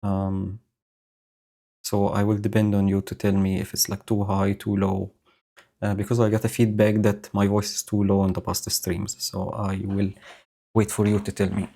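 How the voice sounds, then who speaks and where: A man streaming live, explaining his code slowly and thinking aloud with many fillers. He has a low voice and is speaking into a home microphone setup with a noise gate. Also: completely flat delivery, vocal fry, dark tone. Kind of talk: casual live-coding commentary. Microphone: new home audio setup with noise gate.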